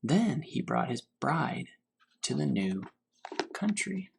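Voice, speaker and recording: A man reading a storybook aloud as a teacher for children, pausing to put questions to his young listeners. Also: mid-range voice, sing-song, creaky, a little hiss or hum. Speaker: teacher reading to fourth graders.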